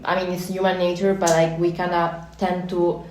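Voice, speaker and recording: Young Italian-accented woman, quick and animated, slightly low voice, echoey room. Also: slight background noise. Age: young adult. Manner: quick, animated, searching for English words. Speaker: architecture student guest. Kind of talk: casual podcast chat.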